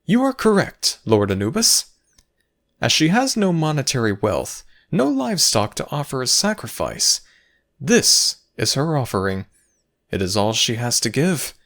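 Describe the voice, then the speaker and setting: Lofty, high-pitched Egyptian god voiced by a man. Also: sing-song, unhurried, fairly bright tone. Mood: solemn, explaining. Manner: formal, theatrical, patient, superior. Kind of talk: dramatic ASMR audio roleplay.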